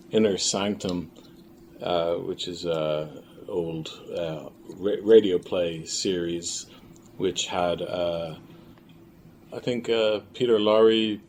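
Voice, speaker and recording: A man talking as an interview guest in a casual, reflective style, pausing thoughtfully between phrases. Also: sits low, darkish tone. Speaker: filmmaker and audio drama creator, interview guest. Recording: faint background noise, room ambience.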